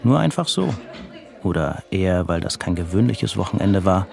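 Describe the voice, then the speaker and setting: A man's voice: fairly low pitch, gravelly, a little mellow. A man narrating a radio play in a literary, restrained and introspective way, with music behind him. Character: restrained, introspective male narrator of a crime novel.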